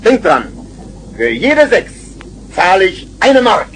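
Jovial uncle with swooping intonation. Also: male voice, high voice, very animated, takes it slowly, fairly bright tone, a little hiss or hum, heavily acted, low-quality recording. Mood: cheerful, calling out. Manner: playful, expansive. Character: jovial, mischievous, free-spirited uncle. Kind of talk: 1970s German children's radio play acting.

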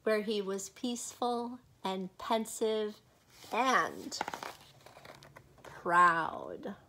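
A middle-aged woman reading a children's picture book aloud expressively, with dramatic pauses and casual asides to young listeners. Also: mid-range voice, very animated, sharp tone, slight background noise, rough recording.

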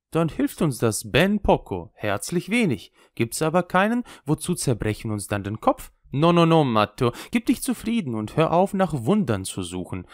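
A man's voice: mid-range voice, dry recording, clean studio sound, close to an everyday voice. A man voicing a cynical, worldly old traveling conjurer who speaks with an Italian accent and slips Italian words into his speech. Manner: sly, mocking, sprinkles Italian words.

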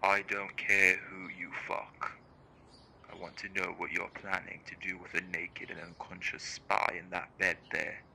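A man's voice: sits low, flat delivery, a little slow, creaky, sharp tone, slight background noise, full character voice, low-quality recording. A man playing a cold, curt interrogator who demands answers in an audio drama. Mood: cold, threatening. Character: cold, menacing, no-nonsense male interrogator. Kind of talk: audio roleplay acting.

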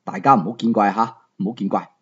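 A man's voice: lowish voice, fairly even intonation, quick pace, husky, muffled recording, voice pushed down. Man acting a fawning, cunning young captive. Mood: sheepish, fawning. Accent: Hong Kong Cantonese.

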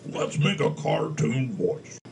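Man doing a slow, swooping cartoon character. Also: medium pitch, very animated, creaky, a little mellow, heavily acted. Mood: playful. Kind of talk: cartoon voice demo. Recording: faint background noise, played-back clip.

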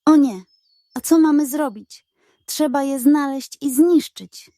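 A naive, easily panicked hen from a children's storybook, acted by a woman. The voice is high and frightened, squealing with gullible worry, in a very dry recording. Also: slow pace, warm tone, dry recording.

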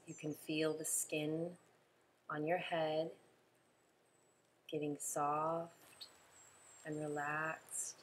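A woman leading a guided relaxation meditation in a soothing, unhurried way, giving instructions in short, spaced phrases. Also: deep voice, slight background noise, low-quality recording.

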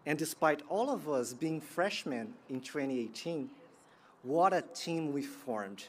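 A man, giving a prepared graduation keynote in a measured, earnest way from a podium outdoors. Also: on the high side, expressive, a little hiss or hum. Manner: measured, earnest delivery with pauses. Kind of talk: prepared commencement speech. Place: outdoor sports field ceremony. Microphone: podium microphone.

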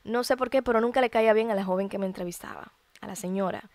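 A Dominican woman in her early thirties hosting a podcast, telling a personal testimony in a heartfelt, expressive way with colloquial asides. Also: medium pitch, fast, no echo. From Dominican Republic. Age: early 30s. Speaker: podcast host.

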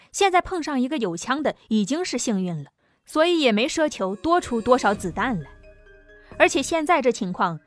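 High-voiced woman narrating an audiobook over music. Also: a bit bright. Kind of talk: scripted narration of an apocalyptic sci-fi novel. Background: background music.

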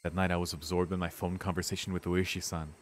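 A man's voice: low-pitched, flat delivery, fast, a little hiss or hum. A man streaming a visual novel, reading the narration dramatically and breaking into casual, sweary reactions.

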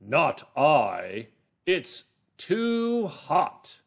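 A man voicing an overheated, unwilling storybook dog, complaining loudly with drawn-out words. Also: on the high side, huge pitch swings, gravelly, slightly rough, voice pushed up, full character voice. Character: hot, unwilling storybook dog making excuses.

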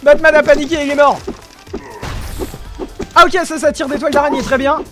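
Excitable high-voiced young man. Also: fairly quick, over music. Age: young adult. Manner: animated, exclaims often, talks to viewers. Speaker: gaming video creator. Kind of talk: excited gameplay commentary. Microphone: headphones with desk mic.

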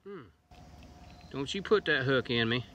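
A man's voice: medium pitch, lively intonation, unhurried, a bit bright, a little hiss or hum. A middle-aged man from West Virginia, hosting a fishing vlog in easygoing running commentary by a creek, filmed on a phone. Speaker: fishing channel host. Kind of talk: casual outdoor fishing vlog. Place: outdoors by a small wooded creek. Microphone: phone camera, sometimes set far off.

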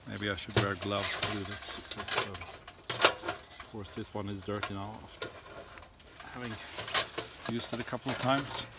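A middle-aged man casually demonstrating outdoor gear in a halting, unscripted way, recorded on a camera in a tent and snowy woods. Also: fairly low pitch, fairly even intonation. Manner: hesitant, halting step-by-step explaining. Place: snowy forest and inside a tent. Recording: clearly noisy camera audio with clicks.

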